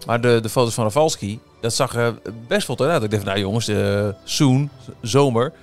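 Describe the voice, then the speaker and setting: A man co-hosting a casual podcast, talking haltingly and repeating words. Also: mid-range voice. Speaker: podcast co-host. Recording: background music under the talk. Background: ambient theme-park music.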